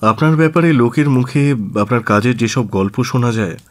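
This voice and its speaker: An actor playing an aristocratic government minister in a radio drama, formal, earnest and deliberate, with hesitant pauses. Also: male voice, medium pitch, lightly acted. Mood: guarded, firm. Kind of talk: Bengali radio drama acting.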